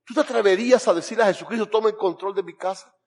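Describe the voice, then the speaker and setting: A man's voice: high voice, racing. A man with a Cuban accent, a pastor making an earnest altar call and praying, pleading in rhythmic lists. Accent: Cuban Spanish. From Cuba. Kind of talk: sermon altar call and prayer.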